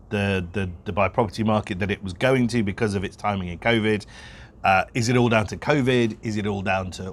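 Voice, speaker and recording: Low-voiced man chatting over background noise. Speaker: podcast co-host, real estate broker. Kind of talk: casual unscripted podcast chat.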